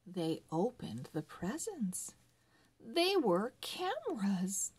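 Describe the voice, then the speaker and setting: Female read-along narrator for young children: deliberate, very slow delivery, lively melodic pitch. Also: husky. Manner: deliberate, word-by-word reading for early readers.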